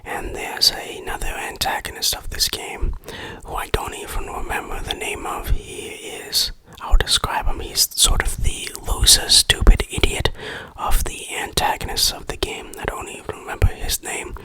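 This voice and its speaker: A person whispering an ASMR game review in a breathy, unvoiced voice, deliberate and tongue-in-cheek.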